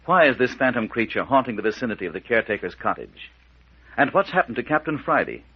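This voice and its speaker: A man's voice: mid-range voice, very animated, quick pace, breathy edge. A man announcing an old-time radio serial with suspenseful, theatrical scripted delivery. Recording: dull, band-limited old radio recording.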